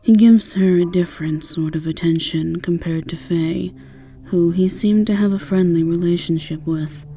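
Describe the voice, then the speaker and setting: A woman reads scripted fantasy narration in a voice a little lower than most women's. Her storytelling is wry and addresses the listener as "you". Music plays behind her. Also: steady tone, normal speed, mellow. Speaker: narrator and voice actor. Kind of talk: scripted second-person fantasy roleplay narration.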